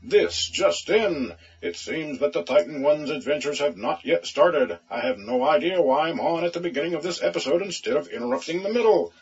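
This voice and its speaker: Man playing a husky smug robot news anchor. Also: fairly high pitch, animated, moderate pace, few pauses. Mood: smug, brisk. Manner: mock-newsreader delivery, condescending. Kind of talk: comic audio drama, parody newscast. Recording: dull, lo-fi audio.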